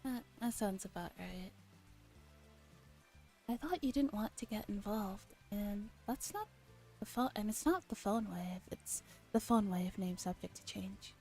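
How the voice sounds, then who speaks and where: A woman with a mellow, relaxed voice streams a visual novel as ASMR. She reads dialogue softly and drops into casual commentary. Quiet music plays behind her. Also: mid-range voice, measured pace.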